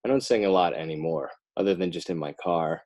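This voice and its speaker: A man's voice: deep voice, fairly quick, darkish tone. A middle-aged American man chatting casually as a podcast guest, rambling in a wry, self-deprecating way. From northern New Jersey, United States. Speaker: podcast guest, animator and children's author. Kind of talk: casual joking interview chat.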